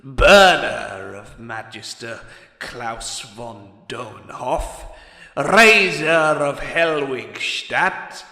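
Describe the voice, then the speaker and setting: A man voicing a menacing, boastful ratman warlock in a fantasy story, declaiming pompously and deliberately. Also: mid-range voice, lively intonation, gravelly, pushed and bright, exaggerated voice. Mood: boastful menace. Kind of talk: fantasy audiobook character acting. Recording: echoey hall with long reverb.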